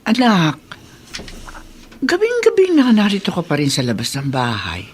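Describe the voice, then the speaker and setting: A woman playing a worried, gently scolding elderly mother in a Filipino radio drama, fretful and coaxing. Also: mid-range voice, huge pitch swings, moderate pace, very noisy. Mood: fretful concern.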